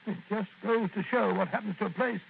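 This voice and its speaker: An amiable, somewhat bumbling doctor companion who stammers on his words. His voice sits fairly high. The recording is thin and phone-like, with faint hiss. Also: fairly even intonation, fairly quick, creaky. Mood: recounting. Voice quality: stammering, genial. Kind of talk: 1940s radio acting. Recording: tinny lo-fi old radio recording.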